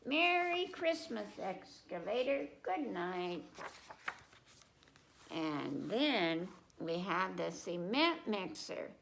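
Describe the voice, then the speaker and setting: A woman's voice: medium pitch, sing-song, extremely slow, vocal fry, a bit bright, slight background noise. A woman presenting a children's storytime, reading picture books aloud and breaking off to speak directly to young listeners with simple questions and repetition.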